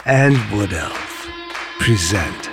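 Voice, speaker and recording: A man's voice: fairly low pitch, sing-song, drawn-out words, over a noisy crowd. A man reading opening credits as a dramatic, scripted announcement. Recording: loud music under the announcement.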